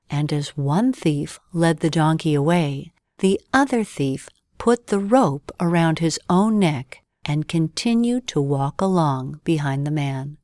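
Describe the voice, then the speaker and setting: A woman's voice: lowish voice, darkish tone, no echo, studio quality. A woman reading a scripted bedtime story as narrator.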